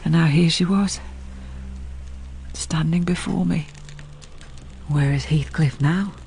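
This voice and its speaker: Creaky-voiced, grave housekeeper storyteller. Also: female voice, low-pitched, slow pace, darkish tone, a little hiss or hum, full character voice. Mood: concerned. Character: sensible, compassionate housekeeper recounting a tragedy. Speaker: narrator. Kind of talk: dramatic first-person narration and radio drama acting.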